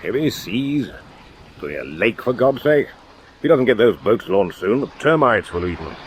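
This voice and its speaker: An actor playing a gruff, sceptical army major with a dry, blunt, grumbling way of talking. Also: male voice, on the low side, very animated, moderate pace, a little hiss or hum. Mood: exasperated, scathing. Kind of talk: radio drama acting. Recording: loud crowd and outdoor din behind.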